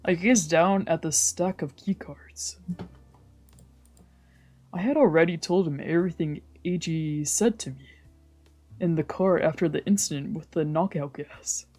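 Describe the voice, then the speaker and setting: Lower-voiced woman streamer reading aloud. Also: measured pace, mellow, faint music behind. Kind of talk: visual novel read-aloud with casual commentary.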